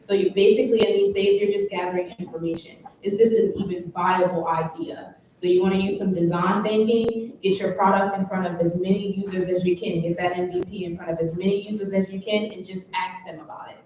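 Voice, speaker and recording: A woman's voice: mid-range voice, fairly even intonation, a little fast, few pauses, a little mellow. A woman founder explaining things in a practical, casual way on a panel. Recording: echoey room from far off, faint noise.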